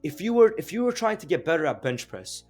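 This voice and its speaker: A man's voice: fairly high pitch, very animated, quick pace, a little mellow, soft background music. A young man whose first language is Azeri, explaining language learning in a casual, chatty way with fillers and rhetorical questions. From Azerbaijan. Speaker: language teacher. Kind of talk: casual explanatory video lesson.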